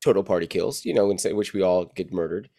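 A man talking casually as a player on a tabletop game podcast, in a relaxed, joking way. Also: low voice, fast talker.

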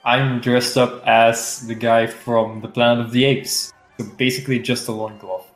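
A man's voice: mid-range voice, flat delivery, moderate pace, roomy sound, soft background music, poor audio. A man in his twenties, a player at a tabletop game, upbeat and playful. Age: 20s.

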